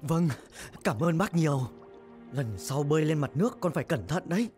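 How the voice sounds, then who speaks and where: A man plays a father fish in a cartoon, patient and protective. His delivery swings widely in pitch as he teaches and reassures, with music playing underneath. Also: animated, normal speed, a little mellow, close to an everyday voice. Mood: reassuring. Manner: instructive, reassuring. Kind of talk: animated film voice acting.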